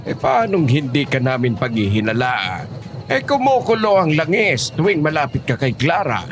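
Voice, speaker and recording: A man's voice: on the high side, very animated, moderate pace, slight background noise. A man with a Filipino accent playing a blunt, protective elderly grandfather in an audio horror drama. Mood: stern, accusing.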